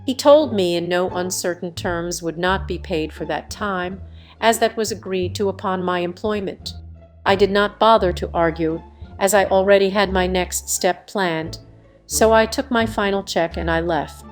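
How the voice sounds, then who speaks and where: A woman's voice: on the low side, normal speed. A woman reading online stories aloud in a scripted narration style. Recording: background music under the voice. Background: music.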